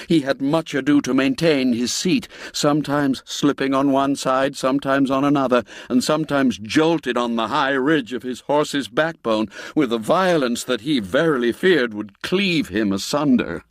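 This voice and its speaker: Slow, measured male storyteller. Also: dry recording. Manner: measured, dramatic storytelling, dropping low and quiet for grim moments. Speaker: narrator. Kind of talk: scripted radio story narration. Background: faint music score.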